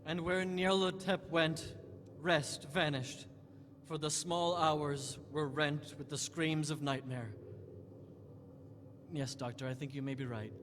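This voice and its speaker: A man as a timid, high-voiced young writer. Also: steady tone, slow pace, a bit bright. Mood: brooding. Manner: hesitant, formal. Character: timid, earnest, brooding young male horror writer. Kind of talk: theatrical live audio drama acting. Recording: noisy live stage recording.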